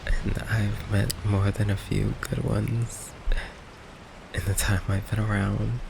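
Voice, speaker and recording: A man playing a shy, affectionate vampire boyfriend in an intimate ASMR roleplay, speaking softly and haltingly with rain behind him. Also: on the low side, flat delivery, heavily acted. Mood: tender, earnest. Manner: soft, hesitant, halting, breathy sighs and chuckles. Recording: faint noise, rain ambience behind. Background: rain ambience.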